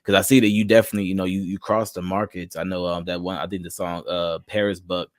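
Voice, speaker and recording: Laid-back low-voiced male podcast host. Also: flat delivery, quick pace, dry recording, clean studio sound. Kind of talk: casual interview conversation.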